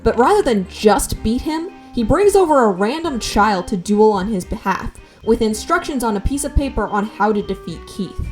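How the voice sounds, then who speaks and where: A woman's voice: on the high side, darkish tone. A woman hosting a scripted video essay with wry, conversational asides, over background music.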